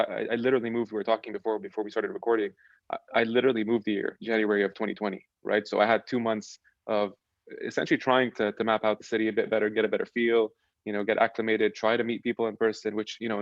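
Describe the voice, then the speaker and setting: A young man from Lebanon, a podcast guest, chatting casually and relaxed about his background, often restarting his sentences. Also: fairly low pitch, no room sound, muffled recording. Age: young adult. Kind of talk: casual chat.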